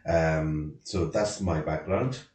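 A man's voice: very deep voice, takes it slowly, darkish tone, recorded from a distance, a little hiss or hum. A man in his fifties from Sri Lanka with a Sri Lankan accent, a children's support worker speaking earnestly on a podcast panel. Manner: earnest, with "uh" and "you know" fillers. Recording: echoey room, away from the mic.

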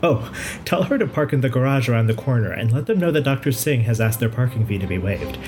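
A kindly, considerate hospital pathologist played by a man in an audio drama. The talk is brisk and chatty, thinking things through aloud. Ambient noise is clear behind the voice. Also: mid-range voice, expressive, darkish tone, close to an everyday voice. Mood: brisk, encouraging.

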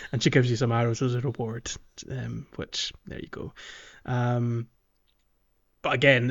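A man's voice: medium pitch, dull audio. A man co-hosting a gaming podcast, chatting casually and thinking aloud with frequent ums. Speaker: podcast co-host.

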